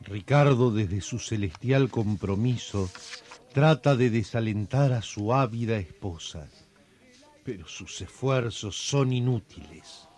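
An actor narrating a domestic radio melodrama as a wry, solemn storyteller with a measured delivery. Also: male voice, on the low side, darkish tone. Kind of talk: scripted radio drama narration.

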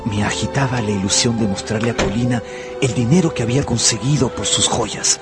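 A man's voice: mid-range voice, a little fast, over loud music, lightly acted. An actor playing an earnest, lovestruck young man, narrating and speaking in an intimate radio drama. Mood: wistful narration. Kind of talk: first-person radio drama narration and dialogue.

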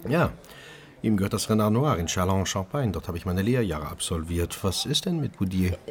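A low, highly varied male voice as a brooding chef who narrates his own crime story. The delivery is intense and dramatic radio-play storytelling, with clear sound effects behind it. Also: lively intonation, brisk, a little hiss or hum. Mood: brooding, urgent.

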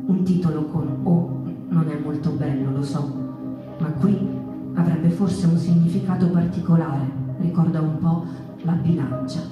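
A woman giving scripted theatrical narration in a measured, literary storytelling manner. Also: deep voice, highs cut off. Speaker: narrator and stage performer. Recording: reverberant hall, slightly distant, music behind. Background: music.

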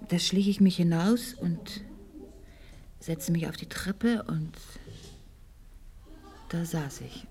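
An actress playing a wistful, high-strung lady of a wealthy household in a German radio play, speaking lyrically and haltingly, often hushed. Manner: theatrical, lyrical, halting, often dropping to a hush.

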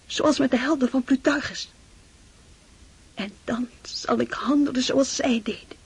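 A young boy full of heroic ideals in a radio play. The voice is highish and very breathy, with slow, fervent, solemn delivery. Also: full character voice. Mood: fervent, resolute. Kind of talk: radio drama acting.

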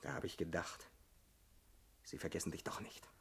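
An actor playing a bitter, talkative young ex-convict party man in a German radio drama, husky and ironic. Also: low voice, expressive, normal speed, a bit bright, whispered, exaggerated voice. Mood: brooding, bitter.

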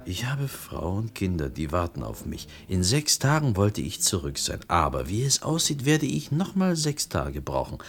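An actor playing a talkative, earnest and persuasive poor potter in a German radio play. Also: male voice, low voice, big pitch swings, moderate pace, hardly any pauses.

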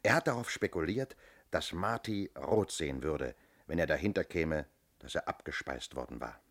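Casting: police inspector, radio crime play; very deep bass, shrewd, methodical, sure of himself. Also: male voice, animated, normal speed, a bit bright, exaggerated voice. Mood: certain, explanatory. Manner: methodical, firm, explanatory. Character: shrewd, self-assured male police inspector. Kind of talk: German radio crime drama acting.

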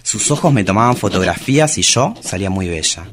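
A man's voice: on the low side, expressive, fairly quick, close to an everyday voice. A man playing a self-dramatizing, bawdy travesti poet with an Argentine accent in a radio drama, theatrical, provocative and tender. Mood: teasing, seductive. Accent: Argentine Spanish. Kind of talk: radio drama monologue and dialogue.

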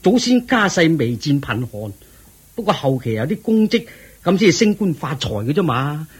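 A man's voice: a little high, sing-song, normal speed, breathy edge. A man with a Hong Kong Cantonese accent playing a proud, worried wealthy father who pleads and frets in a radio drama. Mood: fretful. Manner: pleading, fretful.

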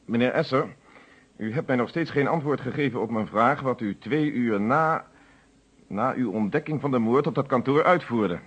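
Man acting a firm, husky police inspector. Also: medium pitch, normal speed, close to an everyday voice. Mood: probing, controlled. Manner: formal, insistent questioning. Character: male police inspector, firm, probing, formally polite. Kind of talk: radio play acting. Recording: dull old radio recording.

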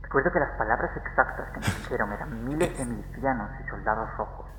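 A man's voice: medium pitch, fast, pushed and bright, natural voice. In a long echo with faint noise, an uneasy navy crewman played by a man talks. He sounds hesitant. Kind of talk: audio drama acting. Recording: reverberant echoey room, faint noise.

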